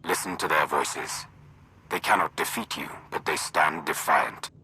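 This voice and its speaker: Very deep, raspy alien warrior. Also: male voice, unhurried, pushed and bright, heavily acted. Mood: grave, admiring. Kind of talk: video game voice acting.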